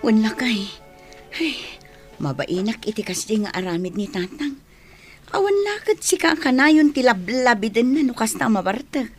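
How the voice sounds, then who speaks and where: A woman's voice: fairly high pitch, big pitch swings, normal speed, faint music behind. Embarrassed, chiding family woman. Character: embarrassed, disapproving woman chiding a boastful old man. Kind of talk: Ilocano radio comedy drama acting.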